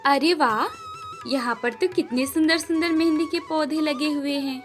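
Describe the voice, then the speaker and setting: A woman plays a dutiful young bride in a cartoon moral tale. Her voice is high and polite, with a patient, reassuring manner. Faint music plays behind her. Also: moderate pace, close to an everyday voice. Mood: cheerful, hopeful.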